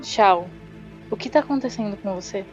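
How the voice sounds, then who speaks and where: A woman's voice: mid-range voice, moderate pace, over music, lightly acted. A Brazilian woman playing a hero's concerned, insistent female companion, earnest and questioning, in a slightly lo-fi role-playing audio drama. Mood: worried, insistent. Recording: dull, slightly lo-fi sound.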